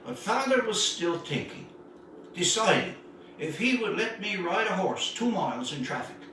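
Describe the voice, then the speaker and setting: A man reading his own literary short story aloud in a dramatic, deliberate storytelling manner with measured phrasing. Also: high-pitched, animated, pushed and bright. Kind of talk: literary story reading, first-person narration. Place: indoor room, live stream. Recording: echoey room, distant mic, faint noise.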